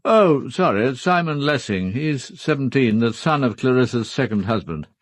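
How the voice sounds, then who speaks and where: Reserved, matter-of-fact British gentleman played by an actor. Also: mid-range voice, expressive, no echo, close to an everyday voice. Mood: reserved, firm. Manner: measured, matter-of-fact, firm. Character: reserved upper-class husband, a titled gentleman. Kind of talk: full-cast radio drama acting.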